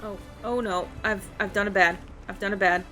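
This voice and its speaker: A woman giving casual, excitable gameplay commentary as she plays. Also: mid-range voice, moderate pace, faint music behind, low-quality recording. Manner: excitable, gushing. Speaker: gamer, channel host. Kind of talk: casual gameplay commentary, reading quest dialogue aloud.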